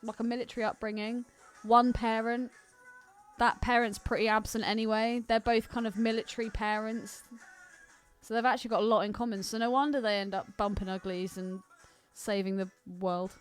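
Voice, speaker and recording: A woman chats casually as a film podcast co-host. Her voice is on the high side, her manner playful and frank, with music running quietly underneath. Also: level tone, moderate pace, clear, resonant tone. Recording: music playing behind the talk. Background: film soundtrack music.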